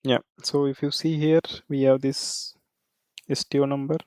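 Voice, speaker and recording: Man, software trainer, smooth clear voice, little pitch movement, halting step-by-step walkthrough, frequent check-in tags. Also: little pitch movement, dry recording. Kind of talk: live screen-share software walkthrough.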